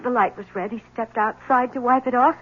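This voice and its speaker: An actress playing a nervous young wife whose husband has vanished, speaking in halting, stammering lines in a 1970s radio drama. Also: female voice, on the high side, fairly even intonation, slightly rough. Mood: distressed. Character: anxious young wife of a vanished man, secretive. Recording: thin phone-like old radio broadcast.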